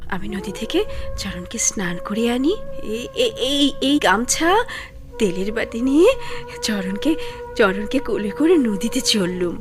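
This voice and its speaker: A woman's voice: mid-range voice, lively intonation, unhurried, over music, natural voice. An actress in a Bengali radio drama playing a tender woman who yearns to mother a child, emotional and coaxing. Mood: wistful pleading.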